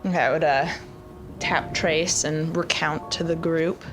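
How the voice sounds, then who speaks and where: A woman's voice: sits low, steady tone, unhurried. A woman player in a tabletop game, thinking aloud and describing her moves hesitantly. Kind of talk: casual tabletop play. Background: music score.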